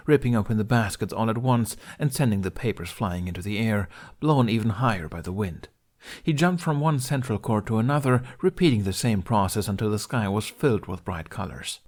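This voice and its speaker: A man's voice: lowish voice, a little mellow. A man reading scripted narration of an adventure story as a podfic narrator.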